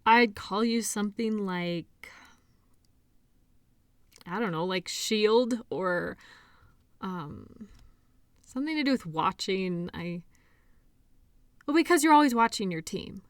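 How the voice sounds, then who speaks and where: A shy, caring female doctor, voiced by a woman for an intimate audio roleplay. She speaks softly at a middling pitch, with a hesitant, teasing and admiring manner. The sound is close and very dry, with no room around it. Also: lively intonation, slow pace, pauses often, dry recording. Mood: sincere, admiring. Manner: soft, hesitant, giggly, conversational.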